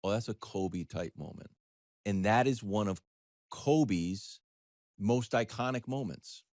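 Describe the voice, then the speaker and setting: A middle-aged man telling a conversational story as a sports talk panelist, animated and wry, slipping into imagined dialogue. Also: on the low side, slow, dry recording, clean studio sound.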